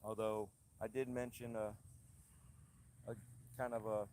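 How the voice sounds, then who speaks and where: A man with a hoarse, lingering-cold voice chats loosely on a live stream, reading viewer comments. He talks outdoors at a haunted attraction, and faint ambient noise sits behind him. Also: on the low side, level tone, measured pace, a little mellow. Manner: rambling, chatty, reads out viewer comments. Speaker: channel host. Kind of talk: casual live stream chat. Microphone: handheld camera rig.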